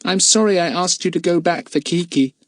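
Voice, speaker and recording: Man playing an excitable high-pitched schoolboy. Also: brisk, dry recording. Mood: humble. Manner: high, urgent, boyish. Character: excitable, warm-hearted schoolboy adventurer.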